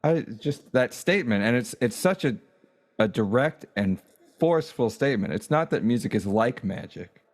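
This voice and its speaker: A man's voice: medium pitch, expressive, moderate pace. A man hosting a music podcast, chatting in an easygoing, enthusiastic way with his guest. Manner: easygoing, enthusiastic, laughs easily. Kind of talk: casual conversation.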